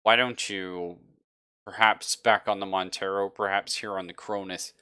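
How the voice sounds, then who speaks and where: A man plays a watchful android crewmate from a sci-fi tabletop game. The voice is low and bright, with a polite, measured way of offering help and protection. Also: steady tone, smooth, dry recording, clean studio sound. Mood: calm, helpful.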